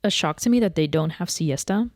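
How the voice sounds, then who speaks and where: A young woman from the Netherlands, co-hosting a podcast in relaxed, chatty conversation. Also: low-pitched, brisk, dry recording, clean studio sound. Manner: relaxed, chatty, many fillers. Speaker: podcast co-host. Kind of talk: casual chat.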